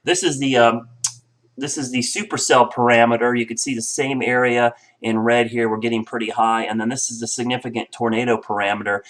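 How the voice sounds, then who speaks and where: A man's voice: mid-range voice, brisk, low-quality recording. Middle-aged male chief meteorologist, nasal and congested from a cold, casual explanatory delivery. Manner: explanatory, relaxed, with frequent fillers. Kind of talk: weather briefing.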